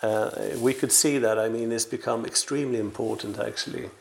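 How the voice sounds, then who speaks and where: A man's voice: medium pitch, fairly even intonation, fairly quick, vocal fry. A man in his sixties from Sweden speaking as an interview guest, telling anecdotes conversationally with hesitant, repeated words. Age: 60s. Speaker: interview guest, veteran publisher and editor.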